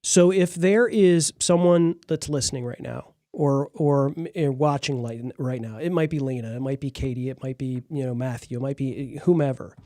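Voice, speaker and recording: A man's voice: mid-range voice, moderate pace, hardly any pauses, mellow, dry recording, clean studio sound. A man from Detroit hosting a podcast, asking questions in a loose, rambling conversational way. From Detroit, Michigan. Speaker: podcast host. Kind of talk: casual interview chat.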